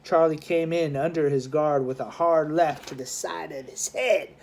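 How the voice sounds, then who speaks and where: A man reading a children's adventure novel aloud as scripted narration, in a dramatic, expressive storytelling manner. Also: on the high side, normal speed, gravelly. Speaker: audiobook narrator, schoolmaster.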